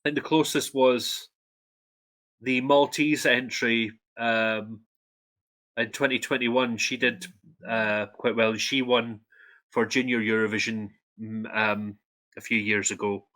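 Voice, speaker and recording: A young man with a Scottish accent talks casually about music with a co-host. His voice is bright and forward, and his delivery is hesitant, full of ums and restarts. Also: mid-range voice, level tone, measured pace. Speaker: co-host, Eurovision fact presenter. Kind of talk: casual chat.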